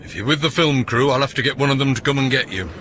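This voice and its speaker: A man playing a gruff, impatient caretaker in a radio drama, curt and dismissive. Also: medium pitch, fast, a crowd in the background, close to an everyday voice. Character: gruff, impatient male caretaker guarding the hospital gate.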